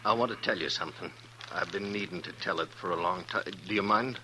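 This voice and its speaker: An actor playing a lonely, guilt-ridden former sea skipper adrift in the Old West, speaking in a halting, folksy way in a 1950s radio drama. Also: male voice, sits low, sharp tone. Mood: haunted, confiding. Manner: halting, folksy, plain-spoken. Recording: noisy 1950s radio recording.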